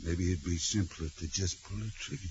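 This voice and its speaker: Tough, low-voiced convict ringleader, acted by a man. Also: fairly even intonation, fairly quick, a little mellow, close to an everyday voice. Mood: defiant, suspicious. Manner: gruff, slangy, belligerent. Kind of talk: 1940s radio drama acting. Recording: muffled, noisy 1940s radio recording.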